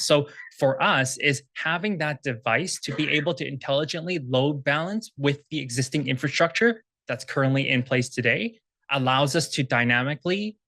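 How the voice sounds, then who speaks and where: A man answers a question from the floor at a panel, laying out technical details in quick, fluent run-on sentences. His voice sits mid-range and sounds dry and close, with little room sound. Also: medium pitch, bright, forceful tone, no echo. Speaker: startup executive presenting. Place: conference room with audience.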